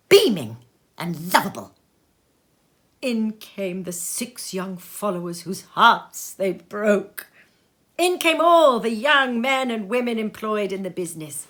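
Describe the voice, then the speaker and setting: Lady reading a classic story, super expressive, slowish, kinda dramatic. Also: mid-range voice. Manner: animated, dramatic, savours lists. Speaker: storybook reader. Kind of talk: expressive literary narration. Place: sitting room.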